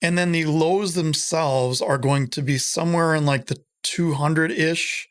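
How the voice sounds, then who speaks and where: A middle-aged man hosting a casual home-studio tutorial, rambling and self-deprecating, with lots of fillers and a voice he says has gotten higher. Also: measured pace. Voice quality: higher voice than it used to be. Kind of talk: casual screen-recorded audio tutorial. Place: small home room with guitars.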